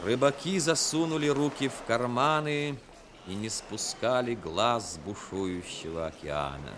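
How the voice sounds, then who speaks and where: Мужчина-рассказчик выразительно читает художественный текст в манере радиоспектакля 1960-х. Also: male voice, low-pitched, noisy background. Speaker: narrator. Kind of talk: scripted dramatic storytelling, 1960s radio. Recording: 1960s radio with music behind. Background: music.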